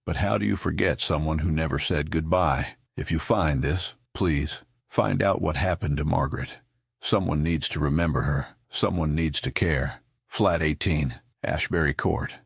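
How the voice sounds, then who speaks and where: A man playing a haunted, earnest army private in an audio drama, confiding solemnly in a gravelly, husky voice as if through a letter. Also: a bass voice, normal speed, no room sound, studio quality, full character voice. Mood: grieving, urgent.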